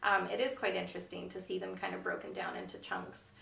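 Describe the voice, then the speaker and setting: Gravelly-voiced woman in her twenties. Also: fairly low pitch, little pitch movement, fast talker, bright, forceful tone, reverberant, recorded from a distance. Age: 20s. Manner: thoughtful, hesitant with fillers. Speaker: interviewee. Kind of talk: church video interview.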